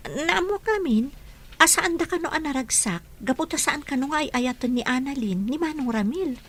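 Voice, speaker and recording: A woman's voice: high voice, expressive, moderate pace, a bit bright. A woman with an Ilocano accent playing a gossipy, sympathetic young village friend in a radio drama, confiding and insistent.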